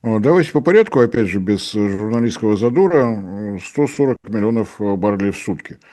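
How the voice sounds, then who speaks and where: Middle-aged male analyst, low mellow voice, dry ironic delivery. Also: lively intonation, normal speed. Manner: ironic, dryly mocking, acts out dialogues. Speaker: energy analyst guest. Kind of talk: sarcastic analytical commentary.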